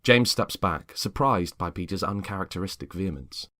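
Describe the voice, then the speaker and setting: A man narrating a scripted story in a measured, dramatic way. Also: deep voice, expressive, bright, forceful tone, dry recording.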